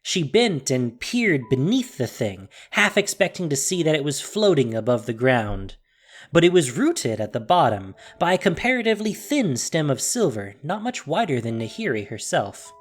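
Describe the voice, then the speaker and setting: Expressive, dramatic fantasy story narrator. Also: medium pitch, moderate pace.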